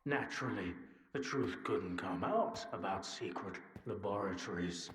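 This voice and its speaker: An actor playing an older male scientist in a video game, regretful and bitter, with a deliberate, sardonic, theatrical delivery. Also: deep voice, expressive. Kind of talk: dramatic video game monologue. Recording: roomy echo with noise behind.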